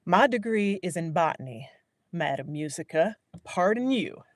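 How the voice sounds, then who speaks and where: A woman's voice: on the low side, lively intonation, moderate pace, no room sound, close to an everyday voice. A woman voicing a young man, a farm-raised botanist, with a dry muttering manner. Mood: joking.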